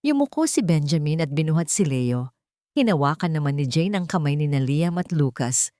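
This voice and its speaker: A woman narrating a scripted romantic drama story in a steady, even reading manner. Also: deep voice, moderate pace, dry recording, clean studio sound.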